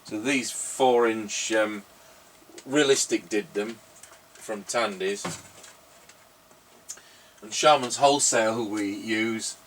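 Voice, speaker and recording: A man's voice: on the low side, slow pace, pauses often. A middle-aged man chatting casually in a rambling, anecdotal way while giving radio test commentary. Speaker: radio repairer and presenter. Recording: noisy, distant microphone.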